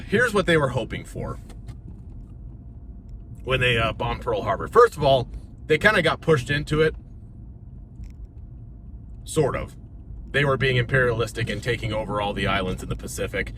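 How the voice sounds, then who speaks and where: An American man talks fitness in a loose, joking vlog style, circling back and stumbling over his words when he runs out of ideas. His voice sits at an ordinary middle pitch. Steady road noise and passing cars run under the recording. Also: moderate pace, pauses often. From United States. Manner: rambling and jokey, stutters when thinking. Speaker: fitness coach. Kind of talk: casual talking-head vlog. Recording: noisy with car sounds behind.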